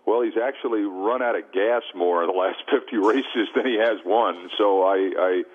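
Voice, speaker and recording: A man's voice: fairly low pitch, moderate pace. A man talking sports as a radio guest, casual and emphatic, often repeating himself, through a muffled narrow-band line. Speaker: sports broadcaster, studio guest. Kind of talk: casual sports talk banter.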